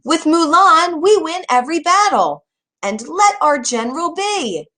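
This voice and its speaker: Lady doing worked-up army guys in a kids story, loud shouty exclaiming, way up high. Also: very animated, unhurried, exaggerated voice. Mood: insistent. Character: agitated male soldiers, loud and excitable.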